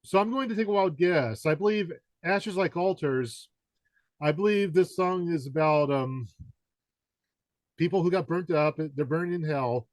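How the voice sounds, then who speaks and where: A man's voice: fairly high pitch, unhurried, no echo. A man hosting a conversational music interview, offering guesses in rambling, hesitant phrasing. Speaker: podcast interviewer.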